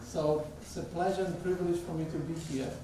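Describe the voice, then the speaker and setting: A man's voice: on the high side, fairly even intonation, fairly quick, recorded from a distance, noisy background. A middle-aged man from Poland with a Polish accent, giving an informal sermon as a guest speaker and chatting with the congregation. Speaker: guest speaker, theology professor. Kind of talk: conversational sermon. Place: church sanctuary. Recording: echoey church hall, picked up from afar.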